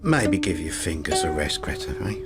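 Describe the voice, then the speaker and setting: Creepy smug lodger in a radio drama, low voice, very swoopy, pompous n flirty. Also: male voice, sing-song, normal speed, music in the background. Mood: smarmy, coaxing. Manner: pompous, demanding, oily charm.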